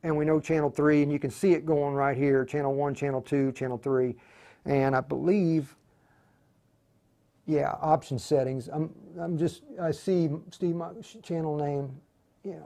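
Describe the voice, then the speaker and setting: A man hosting an unscripted tech tutorial, informal and halting, often muttering to himself as he works through the steps. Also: a little high, fairly even intonation, dark tone. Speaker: tutorial host demonstrating radio programming. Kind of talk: unscripted livestream tutorial, thinking aloud.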